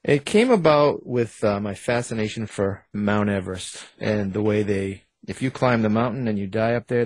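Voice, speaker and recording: Author guy on an interview, lowish voice, dry sound, casual n meandering, bit hesitant. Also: darkish tone, no room sound, rough recording. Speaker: interview guest, horror author. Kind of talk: casual conversational storytelling.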